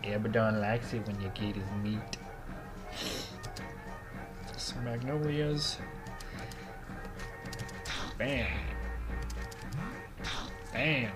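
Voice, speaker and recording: A young man with a starting cold, a bit sniffly, chatting easygoingly as he hosts a game playthrough from a small quiet closet. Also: fairly low pitch, steady tone, very slow, pauses often. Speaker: let's play host. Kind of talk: casual gameplay commentary. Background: faint swing game music.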